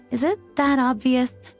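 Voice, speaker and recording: A woman playing a composed, dutiful knight officer in a video game, formal, measured and thoughtful. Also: high-pitched. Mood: weary.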